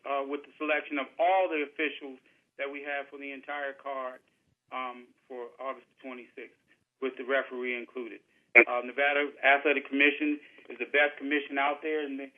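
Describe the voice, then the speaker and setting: A man speaking as a fight promoter on a press conference call, in a measured, official tone. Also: medium pitch. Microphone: telephone. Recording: tinny phone line.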